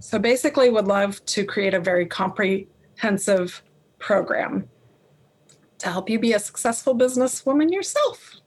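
A woman artist and color teacher being interviewed, talking loudly and passionately and laughing often. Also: mid-range voice, lively intonation, normal speed, breathy edge. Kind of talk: podcast interview chat.

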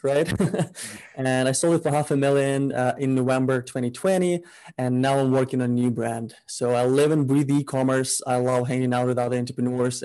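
A man from Ukraine, a guest entrepreneur, talking in a relaxed, upbeat way and laughing easily. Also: mid-range voice, poor audio. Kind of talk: casual interview chat.

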